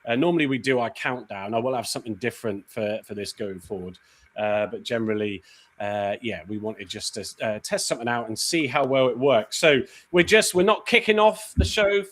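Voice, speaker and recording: A man's voice: medium pitch, a little fast. Laid back guy hosting a footy podcast, lots of uhs. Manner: relaxed, filler-heavy, chatty. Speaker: podcast host. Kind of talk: casual show host chat.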